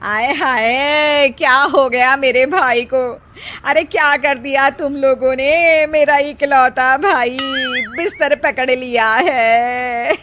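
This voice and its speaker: A woman voicing a scheming, melodramatic middle-aged aunt with a drawn-out, wheedling delivery in a moral story. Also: high voice, steady tone, pushed and bright, pitched above the performer's own voice, exaggerated voice. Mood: wailing. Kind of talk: Hindi moral story dramatization.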